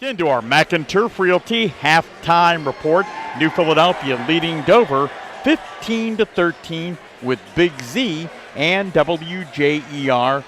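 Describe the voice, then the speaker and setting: A man's voice: fairly high pitch, lively intonation, unhurried, fairly bright tone, soft background music. A man hosting a halftime report on a sports broadcast, moving between recap and sponsor reads. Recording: noisy gymnasium broadcast. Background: basketball gym ambience.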